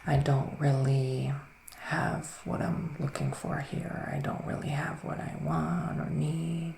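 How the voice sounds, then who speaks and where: A man speaks in a soft, creaky half-whisper, playing a gentle witch in an ASMR roleplay. He is soothing and reassuring, leaving pauses between phrases. The room rings slightly around his voice, over a faint hiss. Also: on the high side, flat delivery, reverberant. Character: gentle, reassuring male witch giving psychic readings. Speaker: ASMR performer playing a psychic reader.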